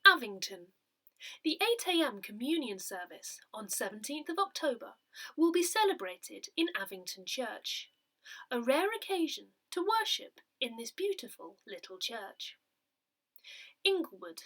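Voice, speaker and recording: A woman reading a scripted newsletter aloud in a measured, deliberate way. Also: a little high, big pitch swings, sharp tone, poor audio. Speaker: newsletter reader.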